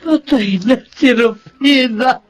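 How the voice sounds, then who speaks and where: An actress playing a spiteful, theatrical mother and neighbour in a Filipino radio-style melodrama, with a Filipino accent and pointed delivery. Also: sits high. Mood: stunned grief. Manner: exaggerated, drawn-out, pointed.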